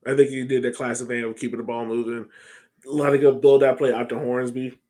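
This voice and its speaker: Brief: male podcast co-host, thirties, middle-range pitch, casual sports talk on a call. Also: little pitch movement, fast, dark tone. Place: home room, on a video call.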